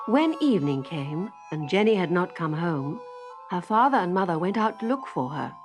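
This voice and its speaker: A woman narrating a children's story in an expressive storytelling manner. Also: fairly low pitch, normal speed. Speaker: storybook reader. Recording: faint music behind the reading.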